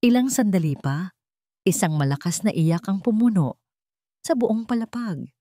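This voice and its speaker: A woman's voice: mid-range voice, lively intonation, moderate pace, dark tone, no room sound, studio quality. A woman reading a scripted dramatic love story as its narrator.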